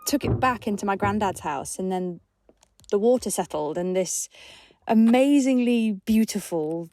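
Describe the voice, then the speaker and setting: A woman's voice: mid-range voice, animated, unhurried, warm tone, slight background noise. A woman sharing personal memories in an earnest, conversational, emphatic way, reflecting as she speaks.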